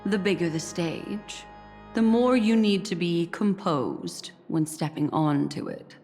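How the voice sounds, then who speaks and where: A slow, soft-spoken mentor, slightly low voice, long reverb. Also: female voice, animated. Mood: calm. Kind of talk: video game dub. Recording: reverberant space with faint music.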